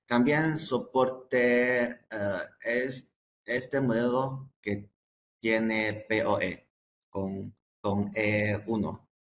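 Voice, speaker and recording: A man presenting products in a webinar, halting and hesitant, often repeating words and breaking off phrases. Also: lowish voice, fairly even intonation, slight background noise.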